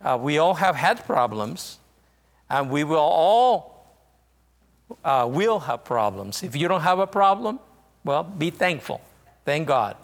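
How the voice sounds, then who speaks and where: A man from northern Mexico with a Mexican Spanish accent, a visiting missionary preaching a sermon, halting often with fillers and restarts. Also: a little high, sing-song, gravelly, long reverb tail.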